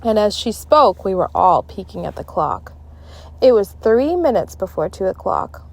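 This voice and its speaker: A woman narrating a children's book aloud in an expressive, dramatic, deliberate style. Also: mid-range voice, gravelly. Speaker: storybook reader.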